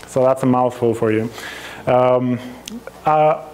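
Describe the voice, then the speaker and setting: A man in his thirties speaks English with a Swedish accent, his voice sitting at an ordinary middle pitch. He presents at a conference through a lapel mic, chatty and self-deprecating, often pausing on ums and restarting his sentences. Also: darkish tone, rough recording. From Sweden.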